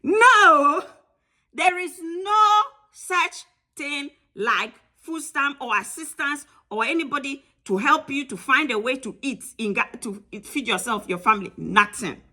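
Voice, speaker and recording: A woman with a Ghanaian accent gives frank advice to her viewers in a casual talk to camera. Her voice sits a little high, with a bright edge. She is emphatic and blunt, hammering points home by repeating them. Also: unhurried. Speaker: channel host giving travel advice.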